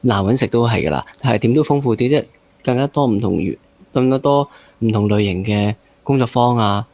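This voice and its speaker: A man with a Hong Kong accent hosts a relaxed, conversational interview. His voice has a middle pitch for a man, and he often repeats or rewords a phrase while working out his point. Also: brisk. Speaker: interview host.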